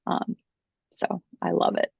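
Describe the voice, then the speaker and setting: A woman's voice: slow, darkish tone, dry recording. A woman hosting a casual online Q&A class, talking informally over a video call. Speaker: host of a book publishing Q&A class. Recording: dull lo-fi video call audio.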